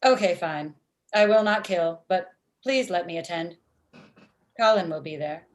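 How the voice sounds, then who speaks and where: A woman in her thirties playing a blunt, literal-minded, murderous office robot in a comedy table read, with deadpan, formal phrasing. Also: fairly low pitch, unhurried, a little hiss or hum, natural voice. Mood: deadpan, matter-of-fact. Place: indoor room at home, video call. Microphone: video call.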